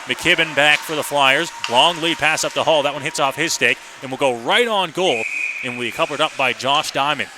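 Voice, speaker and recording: Male play-by-play announcer, ice hockey radio commentary, higher-pitched voice, clipped phrases, noisy rink behind. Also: normal speed. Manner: running description in clipped phrases. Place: ice rink, live broadcast position. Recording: noisy live arena broadcast.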